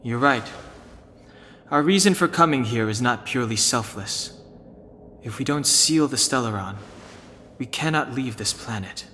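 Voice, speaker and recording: A man's voice: mid-range voice, expressive, unhurried, pauses often, pushed and bright, long reverb tail, a little hiss or hum. A man voicing a composed, serious space traveler in a video game, grave and matter-of-fact. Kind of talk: English video game dub acting.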